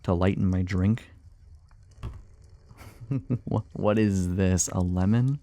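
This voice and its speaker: Man playing a slow, gravelly, weary monster hunter. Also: low-pitched, mellow. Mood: amused, wary. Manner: hesitant, muttering, sighing, wryly sarcastic. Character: weary, grumbling male monster hunter, guarded yet sociable. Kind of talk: immersive ASMR fantasy audio roleplay.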